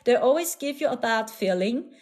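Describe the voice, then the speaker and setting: A woman whose first language is German, speaking English with a clear German accent. She hosts a live stream as a spiritual channeler, and her voice sits fairly high. She talks in an earnest, soothing, repetitive way and often breaks into small laughs. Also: animated, normal speed, a little mellow. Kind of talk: casual live-stream talk.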